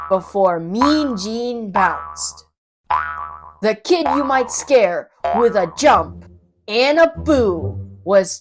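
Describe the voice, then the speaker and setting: A woman reading a children's story aloud as an expressive narrator, with dramatic, rhythmic and playful delivery. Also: mid-range voice. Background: children's music.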